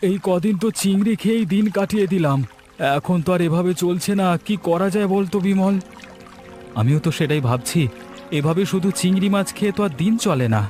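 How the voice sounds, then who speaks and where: A man voices an earnest young fisherman in a cartoon. The voice is fairly high and chatty. Light music runs underneath. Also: a little fast, warm tone, lightly acted. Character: hardworking young male village fisherman. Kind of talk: cartoon voice acting.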